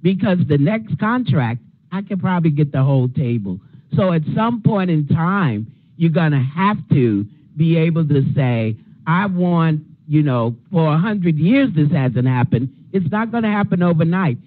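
A woman's voice: deep voice, lively intonation, measured pace, no echo. Big-voiced middle-aged woman, tinny. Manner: forceful, big voice. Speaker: panelist, union negotiator. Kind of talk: panel discussion answer. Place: conference room with a panel table. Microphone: handheld mic. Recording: tinny phone-like sound.

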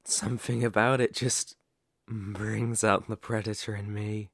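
A menacing werewolf , acted out by a man, speaks right up close in a dry, intimate ASMR roleplay. He takes his time, leaving long pauses between phrases. He is sadistic and possessive, with an old wound underneath the threat. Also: lowish voice, dry recording. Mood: possessive, taunting. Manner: slow, menacing, with drawn-out pauses. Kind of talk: ASMR roleplay, dramatic monologue.

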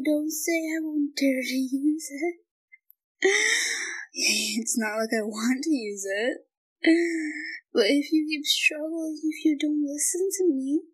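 A young woman playing a shy, obsessive yandere student kidnapper in an intimate audio roleplay, breathy and halting, clingy yet menacing. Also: sits high. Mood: pleading, threatening.

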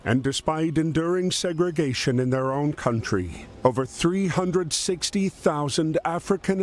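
A man narrating a wartime history game in a solemn, measured, dramatic storytelling style. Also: medium pitch. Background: music.

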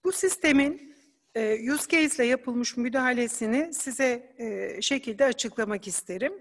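Woman presenter, slightly high voice, measured explanatory delivery, hall reverb. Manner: measured, explanatory, with hesitation fillers. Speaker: conference presenter. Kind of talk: academic slide presentation.

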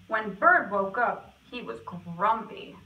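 A woman's voice: medium pitch, animated, takes it slowly, distant mic, narrow, tinny audio. A woman in her twenties reading a picture book aloud like a nursery teacher, in a roomy indoor recording. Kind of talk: children's storybook read-aloud. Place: small classroom-style room indoors. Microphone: camera a few feet away.

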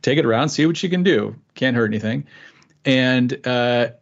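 A man's voice: mid-range voice, moderate pace, creaky. Easygoing rambling man, muffled audio. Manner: rambling, easygoing, lots of restarts. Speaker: guest author and musician. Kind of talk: casual interview storytelling.

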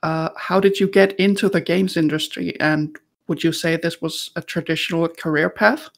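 A woman's voice: on the low side, fairly even intonation, normal speed, creaky. A woman hosting an interview podcast, giving introductions and questions in a friendly, prepared style. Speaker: podcast host.

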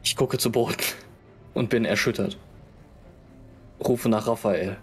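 A man's voice: fairly low pitch, a little slow, slightly rough. A man playing a tabletop role-playing game, talking casually and hesitantly as he thinks aloud. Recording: music under the voice. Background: background music.

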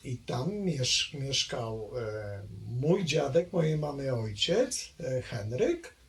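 An older man recalling his family history in a halting way, full of pauses and hesitations. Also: medium pitch, big pitch swings. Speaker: interviewee, longtime local resident. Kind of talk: oral history recollection.

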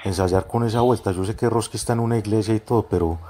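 A man's voice: on the low side, racing, warm tone, a little hiss or hum. A Colombian man with a Medellín paisa accent, chatting as a prank caller in coarse, jocular slang full of swearing. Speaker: prank caller, show host. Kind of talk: casual slangy phone prank chat.

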